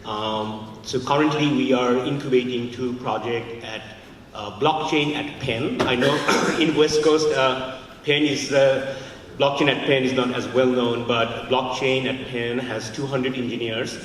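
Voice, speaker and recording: A man from Bangladesh giving a halting conference talk with many fillers, as a fund founder. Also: mid-range voice, fairly even intonation, slight background noise. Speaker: fund founder, panel speaker. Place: large conference hall. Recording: echoey hall from far off.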